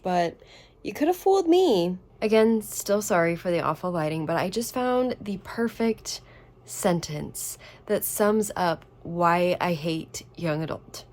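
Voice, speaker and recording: A young woman in her twenties talks straight to camera with a stuffy, congested voice from a cold. She chats quickly and emphatically, sounding exasperated, with a middling pitch. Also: darkish tone. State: sick with a cold, congested and coughing. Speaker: book vlogger. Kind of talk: casual reading vlog chat.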